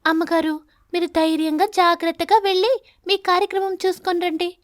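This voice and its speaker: A woman voicing a poor mother sparrow in an animated story, humble and imploring, begging respectfully for work. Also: very high-pitched, a little fast, no echo, studio quality, pitched above the performer's own voice. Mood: eager, assuring.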